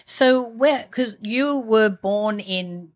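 A woman's voice: fairly high pitch, drawn-out words. A woman hosting a podcast, chatty and friendly, laughing often as she interviews a guest. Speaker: podcast host.

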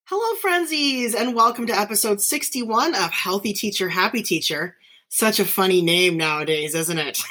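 A woman from northern Nevada hosting her own podcast, chatting casually and warmly about her life, with frequent laughs, fillers and restarts. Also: medium pitch, animated, normal speed. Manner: chatty, giggly, frequent fillers and self-corrections. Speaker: podcast host, teacher. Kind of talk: casual solo podcast chat.

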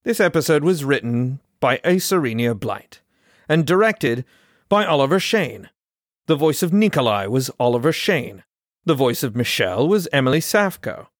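A man's voice: mid-range voice, sing-song, normal speed, no echo, clean studio sound. A man reading scripted credits as an announcer.

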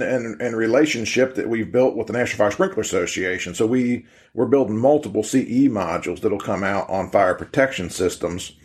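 A man's voice: on the low side, darkish tone. An American man talking informally on a podcast as a training program leader, explaining in a conversational, rambling way and repeating phrases as he goes.

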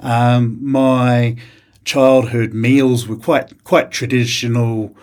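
A man in his forties from New Zealand with a New Zealand accent, a chef talking casually in an interview, pausing often with fillers and restarts. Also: medium pitch, flat delivery, a little mellow. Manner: hesitant, many uh fillers and restarts.

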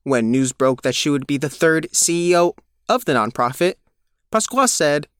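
A man's voice: fairly high pitch, expressive, normal speed, smooth, no echo, studio quality. A man narrating a scripted news feature as a reporter.

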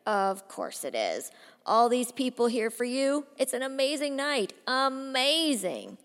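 A woman's voice: mid-range voice, measured pace. Woman voicing a bitter, sarcastic young man. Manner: sarcastic, loud and mocking. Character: bitter defiant young man, 28, feigning drunk. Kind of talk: audiobook character voice. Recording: long hall reverb.

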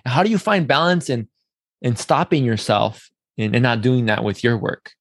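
A man's voice: medium pitch, expressive, normal speed, gravelly, dry recording, studio quality. A man hosting a podcast interview in a casual, talkative and affirming way. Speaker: podcast host.

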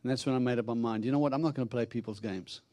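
Texan-accented South African preacher, dry sound. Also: male voice, medium pitch, very fast, warm tone, no echo. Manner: chatty and humorous, acting out dialogue with God. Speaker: visiting preacher. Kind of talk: sermon. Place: church.

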